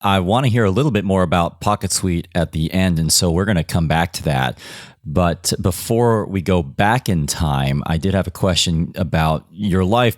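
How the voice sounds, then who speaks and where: A man hosting a podcast, introducing and questioning his guest in a measured, friendly way. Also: low voice, darkish tone, no room sound, clean studio sound. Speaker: podcast host.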